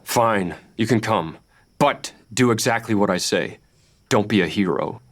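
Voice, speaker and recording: A man's voice: on the low side, a little slow. A man playing a brave, guarded young thief hero, speaking earnestly and bluntly at a table read. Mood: stern. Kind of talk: table read of a fairy-tale script.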